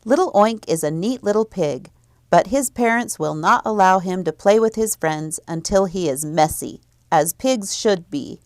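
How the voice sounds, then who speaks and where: A woman's voice: medium pitch, lively intonation, normal speed, no room sound. Woman reading a script, close and dry. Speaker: library presenter. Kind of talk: scripted announcement and book reviews.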